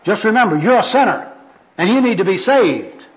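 A pushy young street evangelist, acted out by a man reading a skit, preaches and scolds insistently. The voice is raised high and loud, on a dull, lo-fi recording. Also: expressive, normal speed. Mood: insistent, scolding. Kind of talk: amateur skit read aloud.

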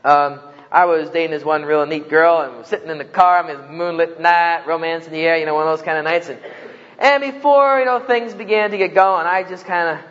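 A man's voice: a little high, fairly even intonation, a little fast, a bit bright, big-room sound, highs cut off. A man from New Jersey with a New Jersey accent, giving a humorous talk to students and acting out the voices in his stories. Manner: joking storyteller, acts out quoted voices. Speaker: campus speaker.

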